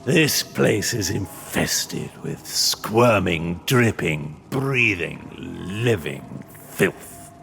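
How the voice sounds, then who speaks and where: A gravelly, grandiose ghost warlord from a fantasy game. He is very slow and menacing, swinging widely in pitch with a forceful, sharp edge. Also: full character voice. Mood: cold disgust. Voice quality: gravelly, creaking, hissing. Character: imperious undead Nighthaunt spirit commander, cruel, disgusted by the living. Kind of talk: theatrical video game cutscene acting.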